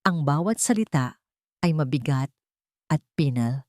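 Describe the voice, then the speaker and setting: A woman with a Filipino accent narrating a dramatic first-person story in measured, solemn, deliberate phrases. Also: sits low, animated, a little mellow, no echo, clean studio sound. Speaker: audiobook narrator.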